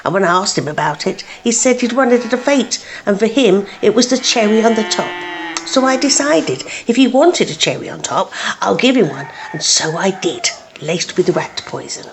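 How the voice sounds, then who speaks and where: A woman plays a bitter village poisoner in a comic radio drama. She is an indignant, grumbling woman with a medium pitch and very lively intonation. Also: normal speed, a crowd in the background, lightly acted. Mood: bitter, defiant. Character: resentful village woman, a cake-fete poisoner.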